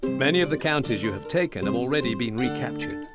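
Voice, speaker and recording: A man's voice: mid-range voice, animated. Serious male game narrator over music. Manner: measured, serious. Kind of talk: scripted dramatic narration. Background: music with plucked guitar.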